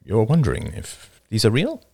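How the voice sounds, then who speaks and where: A man's voice: on the low side, wildly swooping pitch, normal speed, gravelly, warm tone, breathy edge, voice pushed up, heavily acted. A man voicing a genial, eager companion who talks with breezy enthusiasm.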